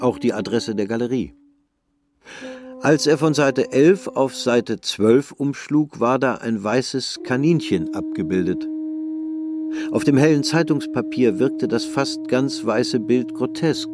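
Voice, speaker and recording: A man reading the narration of a radio play in a calm, measured way. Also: mid-range voice. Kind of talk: scripted narration.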